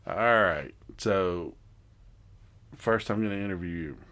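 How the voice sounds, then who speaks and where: A man's voice: sits low, slow pace, fairly bright tone. A man co-hosting a podcast in casual banter, rambling into goofy, joking asides. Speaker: podcast co-host.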